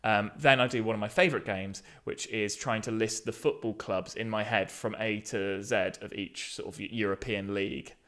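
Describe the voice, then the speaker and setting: A man's voice: on the low side, fairly even intonation, normal speed, few pauses, fairly bright tone. A man in his thirties chatting casually as a podcast co-host, with a comedian's dry, self-deprecating banter. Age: 30s.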